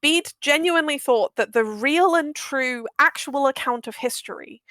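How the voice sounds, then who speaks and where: A woman's voice: high-pitched, normal speed, a bit bright, no echo, studio quality. A woman explaining history casually and with great enthusiasm, emphatic and effusive, happy to swear. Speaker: guest audio drama maker.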